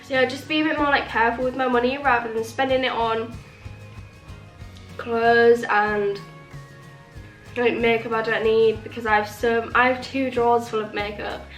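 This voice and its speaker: A woman's voice: on the high side, level tone, moderate pace, pauses often. A young woman chatting casually to camera as a vlogger, sniffly with a cold and rambling with lots of "like". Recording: echoey room with faint music. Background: faint music.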